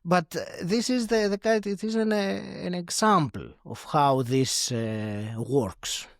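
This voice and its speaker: A man from Greece, a guest in a podcast interview, speaking in a reflective, halting way with many fillers and restarts. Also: high-pitched, no room sound, clean studio sound. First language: Greek. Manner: hesitant, many fillers and restarts, laughs often.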